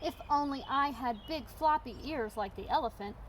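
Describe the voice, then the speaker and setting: A woman voicing a fretful, self-doubting female hippopotamus in a storybook, plaintive and drawn-out. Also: sits high, fairly bright tone, a little hiss or hum, voice pushed up. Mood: sad, wistful.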